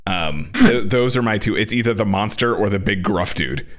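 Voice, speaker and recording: A very low-pitched man with a lively, swinging delivery. He is a young adult co-host chatting casually on a podcast, joking loosely and swearing freely. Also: moderate pace.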